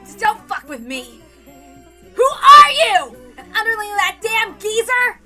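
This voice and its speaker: A woman's voice: very high-pitched, very animated, measured pace, bright, forceful tone, soft background music, pitched above the performer's own voice, full character voice. A woman playing a jumpy, hot-tempered young man, stammering and urgent, in a dramatic story reading. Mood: angry, panicked.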